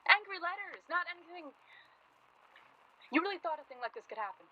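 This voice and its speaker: A woman playing a worried yet tender, teasing 1940s journalist in a naturalistic radio play, with a husky voice. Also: very high-pitched, lively intonation, a little fast. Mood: anxious. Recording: dull lo-fi recording.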